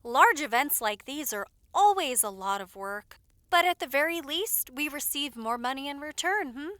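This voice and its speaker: Woman playing a bright, high-voiced fussing maid. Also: animated, moderate pace, no room sound. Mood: reassuring. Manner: attentive, gently scolding, politely familiar. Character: caring, fussing, loyal young lady's maid. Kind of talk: audio roleplay acting.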